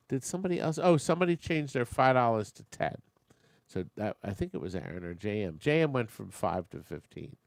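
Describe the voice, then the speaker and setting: A man's voice: medium pitch, vocal fry, no echo, studio quality. A man hosting a live online auction, chatting with bidders and making joking asides while losing his voice. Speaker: auctioneer and show host.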